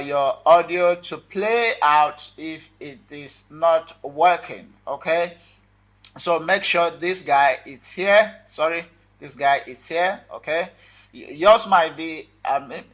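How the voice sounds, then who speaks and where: A man hosting a casual software tutorial, talking viewers through the steps informally in short phrases. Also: on the high side. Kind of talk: casual screen-recorded tutorial talk.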